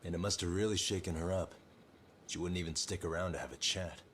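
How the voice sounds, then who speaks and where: An actor playing a determined, analytical private detective in a video game dub, self-assured in manner. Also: male voice, sits low, steady tone, fairly quick, a little hiss or hum. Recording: loud music behind dialogue.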